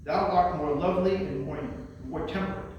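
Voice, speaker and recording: High-pitched man reciting in an echoey room. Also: brisk, a little mellow, highs cut off. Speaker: librarian presenting a poetry reading. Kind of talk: spoken presentation and recitation of poems. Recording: very echoey room, distant mic, faint noise.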